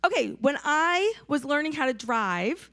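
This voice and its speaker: Hoarse woman preaching, slightly high voice. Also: very animated, measured pace, fairly bright tone, no room sound, clean studio sound. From New Hampshire, USA. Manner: conversational, checks in with the congregation. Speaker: pastor. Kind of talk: sermon.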